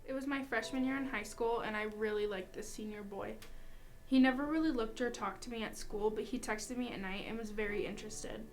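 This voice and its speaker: Young female student reader, fairly high voice, first-person account read over music. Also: brisk. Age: young adult. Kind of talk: reading a personal account aloud.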